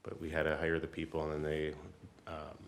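A man speaking as a city staff member, explaining things at a council meeting in an informal, halting way. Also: rumbling low, monotone, slight background noise.

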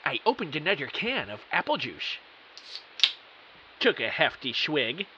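A man's voice: on the high side, big pitch swings, a little slow, music in the background. A man playing a bewildered computer technician who narrates his own mystery audio drama with earnest, melodramatic emphasis. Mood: dramatic, intrigued. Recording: dull, hissy audio.

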